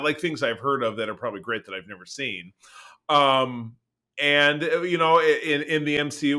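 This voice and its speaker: Bright, emphatic male podcast co-host. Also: mid-range voice. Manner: animated, emphatic, repeats phrases. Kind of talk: casual chat about film and TV news.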